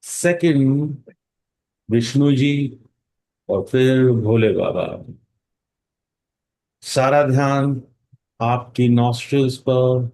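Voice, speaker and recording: A man guides a meditation very slowly in a soft-edged, mellow voice. He gives calm instructions, stretching words out and leaving long pauses between phrases. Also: medium pitch, rough recording. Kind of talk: guided meditation in Hindi mixed with English phrases.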